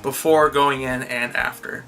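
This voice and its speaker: A man co-hosting a casual conversational movie review, thinking out loud and rephrasing as he goes. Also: mid-range voice, little pitch movement, unhurried, gravelly. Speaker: co-host of a movie review show.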